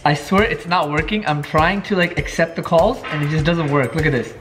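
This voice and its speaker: A young man talking excitedly to a handheld camera in his living room while answering fan calls. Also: on the high side, over music. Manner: excited, fast-talking, chatty. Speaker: vlogger. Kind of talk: casual vlog talk to camera. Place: living room of a house, indoors. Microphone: handheld camera at arm's length.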